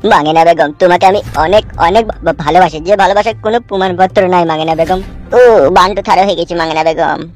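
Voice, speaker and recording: A woman's voice: lowish voice, fast, a little mellow, lightly acted. Woman voicing a lovesick cartoon guy, pleading, stammering, faint music behind. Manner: pleading, stammering when scared. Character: lovestruck young man, eager and cowardly. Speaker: cartoon character. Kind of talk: Bengali cartoon comedy dubbing.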